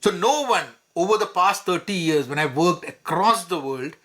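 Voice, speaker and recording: A man's voice: high voice, lively intonation, normal speed, fairly bright tone. A middle-aged man from Kerala with a Malayali English accent, a vlogger talking through his thoughts and switching between Hindi and English, with fillers and restarts. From Kozhikode, Kerala, India. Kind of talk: casual personal commentary.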